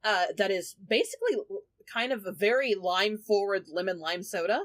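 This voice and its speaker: A woman's voice: medium pitch, lively intonation, brisk. A woman chatting casually as a player on a tabletop podcast, talking emphatically and swearing freely. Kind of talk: casual chat.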